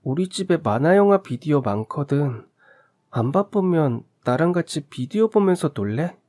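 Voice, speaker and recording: A shy, lonely young boy who speaks a little high and careful, as a male narrator acts him out. He sounds hesitant, reaching out to a new friend in a storybook telling. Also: animated, moderate pace, dark tone, lightly acted.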